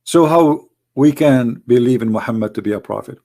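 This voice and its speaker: A man's voice: medium pitch, normal speed, mellow. A man hosting a religious video, delivering an argumentative monologue in non-native English phrasing. He speaks emphatically, repeating points and asking rhetorical questions.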